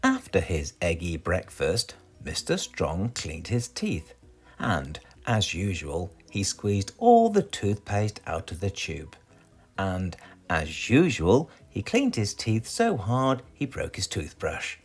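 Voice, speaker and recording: British man, children's storybook read-aloud, swooping intonation, husky low-clarity voice, unhurried, music behind. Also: huge pitch swings. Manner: dramatic, addresses young listeners with questions. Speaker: storybook reader.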